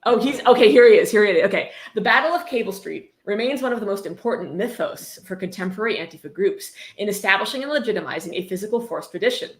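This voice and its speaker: A woman's voice: medium pitch, expressive, fast talker, few pauses. A woman hosting a mocking livestream book reading, sarcastic, irreverent and profane, breaking off into casual commentary. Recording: echoey room.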